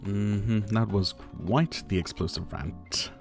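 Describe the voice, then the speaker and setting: A man's voice: lowish voice, slow pace, soft background music. A man playing a possessive, flirtatious and condescending rich dictator in an intimate ASMR roleplay, doting on the listener with pet names. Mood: cool, challenging. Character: possessive, teasing male yandere dictator, rich and menacing.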